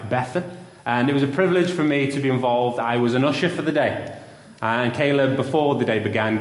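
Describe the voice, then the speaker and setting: A young man preaching and telling a story in a church, with a loud voice. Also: mid-range voice, slight background noise. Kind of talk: sermon with story. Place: church hall. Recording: roomy church with long reverb.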